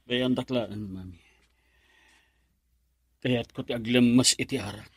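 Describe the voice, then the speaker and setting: A drunk, lovesick young Filipino man in a radio drama. He is stubborn and sulky, with a husky, mid-pitched voice recorded dry. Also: measured pace, dry recording. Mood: sulky, heartbroken. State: acting drunk.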